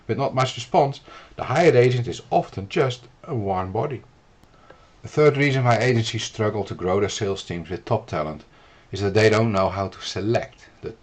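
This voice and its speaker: A man from the Netherlands with a Dutch accent, explaining steps to the viewer in an earnest, measured instructional video. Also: medium pitch, a little mellow. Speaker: business consultant.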